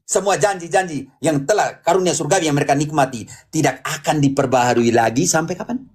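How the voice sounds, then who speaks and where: Higher-pitched man teaching the Bible, emphatic. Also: a little fast, husky. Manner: emphatic, repeats phrases, prompts a reader and asks questions. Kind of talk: interactive Bible teaching, apologetics.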